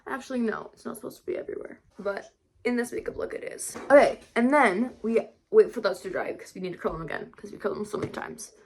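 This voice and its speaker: Raspy girl doing a chill makeup tutorial at home, lots of "like", keeps correcting herself. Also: mid-range voice, animated, quick pace, a little mellow, slight background noise. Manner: rambling, self-correcting, lots of "like". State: raspy today. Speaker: vlogger.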